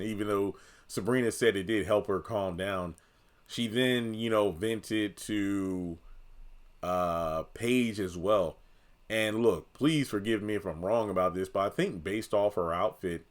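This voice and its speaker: A man giving casual, conversational opinion commentary on a TV drama, thinking aloud and speaking straight to viewers. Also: on the low side. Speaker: video commentator.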